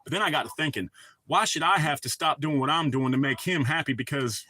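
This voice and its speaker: Bright-voiced man ranting with heavy profanity. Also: a little high, a little fast. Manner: profane, rambling, heated storytelling. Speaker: livestream host. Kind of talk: casual ranting chat.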